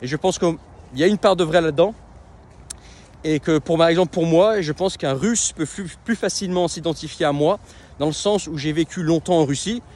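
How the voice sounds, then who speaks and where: A man's voice: a little high, brisk, darkish tone. A man talking casually in a vlog, with lots of hesitations and restarts. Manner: conversational, many fillers and restarts. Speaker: web entrepreneur and coach.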